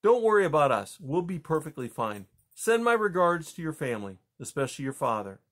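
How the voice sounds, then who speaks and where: A man reading a story aloud and voicing a gentle, reassuring male woodland animal. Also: on the high side, very animated, fairly quick, voice pushed up.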